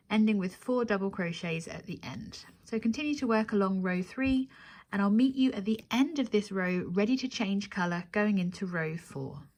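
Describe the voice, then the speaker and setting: A woman's voice: medium pitch, darkish tone. A woman hosting a crochet tutorial, giving step-by-step instructions and counting aloud as she goes.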